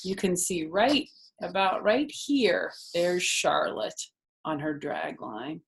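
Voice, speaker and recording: A woman storyteller reading a children's book expressively, lingering deliberately on the ends of phrases. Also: fairly low pitch, vocal fry, roomy sound, a little hiss or hum, low-quality recording.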